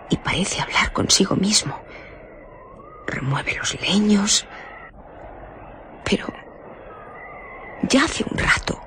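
An actress playing a nosy, fretful village innkeeper in a radio drama, deferential and given to muttering to herself. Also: female voice, on the low side.

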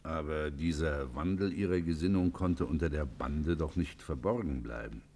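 Very deep-voiced, grave judge. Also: male voice, moderate pace, a little mellow, a little hiss or hum. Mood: probing, consoling. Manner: stately, exhorting, formal rhetorical diction. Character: grave, earnest judge hearing a confession, morally exhorting. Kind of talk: classic German radio play acting.

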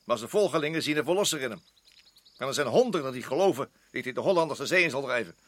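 An actor the playing a worried, hard-nosed garrison officer in a radio drama, urgent and emphatic, often repeating himself. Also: male voice, mid-range voice, lively intonation, fast, fairly bright tone. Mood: sceptical, urgent.

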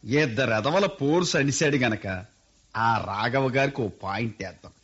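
An actor playing a shrewd, earthy middle-aged village landowner in a radio play, with colloquial coastal Andhra speech. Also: male voice, medium pitch, moderate pace, fairly bright tone, breathy edge. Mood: sly, amused. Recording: dull lo-fi radio recording.

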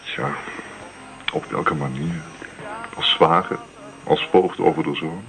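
A man's voice: extremely low pitch, slow, gravelly, a bit bright, soft background music, full character voice. An actor playing an eager, lovelorn young man in a Dutch radio drama. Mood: earnest, lovelorn. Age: young adult. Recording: noisy radio play recording.

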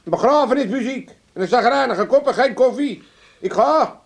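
A man's voice: very high-pitched, expressive, moderate pace, creaky, exaggerated voice. An actor playing a cheeky, stubborn old Amsterdam father in a Dutch comedy radio play, blunt and colloquial. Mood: indignant, grumbling.

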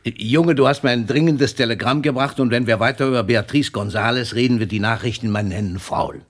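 An actor playing a wry, indulgent elderly poet in a German radio play, with a husky voice and a measured, avuncular manner. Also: male voice, mid-range voice, natural voice. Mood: gently impatient. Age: older.

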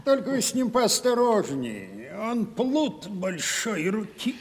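An actor in a radio comedy playing a blunt, cynical country landowner who grumbles in a gruff, sardonic manner. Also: male voice, sits high, very animated, slow, heavily acted. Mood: sardonic, dry. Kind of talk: classic Russian stage comedy radio acting. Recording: 1970s radio play, reverberant hall.

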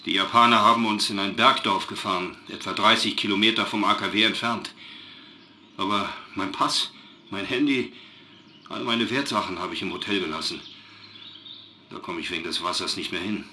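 An actor playing a shaken but factual German engineer, giving an earnest, precise, halting eyewitness account in a radio drama news interview. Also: male voice, lowish voice, fairly even intonation, sharp tone. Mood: anxious, controlled. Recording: noisy live news link.

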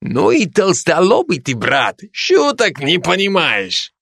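A man's voice: high voice, wildly swooping pitch, takes it slowly, vocal fry, a bit bright, breathy edge, no echo, clean studio sound, voice pushed up, full character voice. A man voicing a handsome young Georgian soldier who teases his comrades. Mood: joking. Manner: teasing, mocking.

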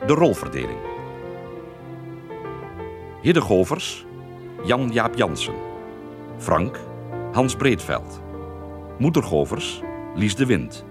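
A male radio announcer with a fairly low voice reads a cast list over clear music. His delivery is slow and formal, with a very varied intonation and deliberate pauses. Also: wildly swooping pitch. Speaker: announcer reading radio drama credits. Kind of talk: scripted broadcast announcement. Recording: prominent music with the voice.

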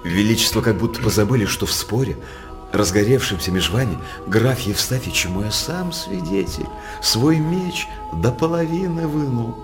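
An actor playing a sly, envious royal counsellor in a theatrical radio play, with an insinuating, mock-deferential manner. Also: male voice, medium pitch, expressive, normal speed, lightly acted. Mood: scheming. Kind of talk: theatrical verse radio drama acting. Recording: music behind the voice.

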